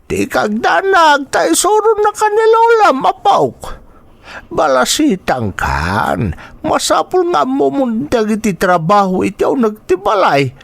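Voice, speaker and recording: A man's voice: very high voice, wildly swooping pitch, measured pace, vocal fry, fairly bright tone, no echo, exaggerated voice. A man playing a strict old grandfather in a radio drama, speaking with an Ilocano accent and an admonishing, instructive manner. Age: older. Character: strict, hardworking old grandfather in a rural household. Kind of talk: Filipino radio drama acting.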